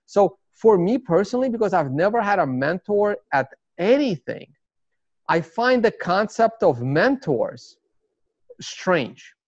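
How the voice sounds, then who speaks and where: Higher-voiced Russian-accented man, rambling. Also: sing-song, a little slow, darkish tone, no room sound. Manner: rambling, many fillers and restarts. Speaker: interview guest, consultant. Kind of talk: casual interview talk. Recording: dry, slightly dull sound.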